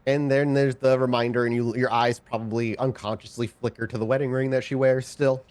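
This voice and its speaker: Man narrating a game, mid-pitched. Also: little pitch movement, fairly quick, darkish tone, no room sound. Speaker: game storyteller. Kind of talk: casual tabletop game narration.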